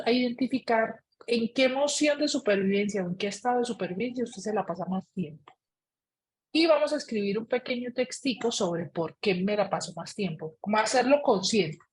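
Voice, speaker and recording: A woman facilitating an online reading club, calmly giving instructions for a writing exercise and encouraging participants. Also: a little high, normal speed, husky. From Colombia. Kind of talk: guiding a group writing exercise.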